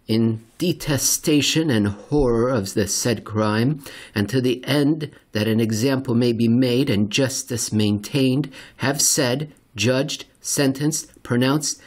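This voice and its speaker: A man hosting a podcast, reading dramatically and commenting conversationally, with deliberate, theatrical phrasing and pauses for effect. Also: mid-range voice. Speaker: podcast host and reader.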